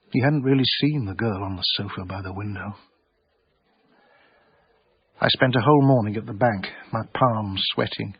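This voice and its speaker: An actor playing an anxious, earnest small-time English art dealer in a radio drama, hesitant and self-effacing, with an English accent. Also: male voice, on the low side, breathy edge, no room sound, dull audio. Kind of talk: radio drama acting and first-person narration.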